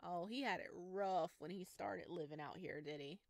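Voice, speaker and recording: A woman's voice: fairly low pitch, moderate pace, gravelly, darkish tone, no echo, studio quality. A woman hosting a gaming video, reading a visual novel aloud and adding casual commentary.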